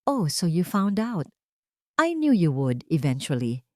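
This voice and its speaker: A woman voicing a cold, scheming rich mother in an audio drama, calm and haughty. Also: fairly low pitch, measured pace, dry recording, studio quality. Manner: calm, haughty, code-switching Tagalog and English.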